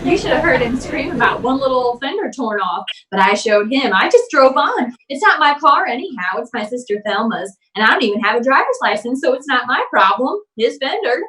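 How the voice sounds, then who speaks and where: A woman's voice: sits high, sing-song, brisk, few pauses, fairly bright tone. A woman playing a gushing, scatterbrained busybody in 1940s radio comedy, rambling and repeating herself effusively. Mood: breezy indignation. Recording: roomy, distant microphone.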